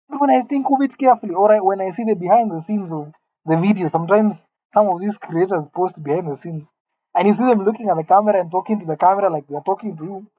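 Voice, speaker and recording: A man's voice: sits high, quick pace, warm tone, lo-fi sound. Wry, rambling man in his late twenties. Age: late 20s. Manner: wry, rambling, self-mocking. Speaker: vlogger. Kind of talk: musing solo monologue to camera. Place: empty room.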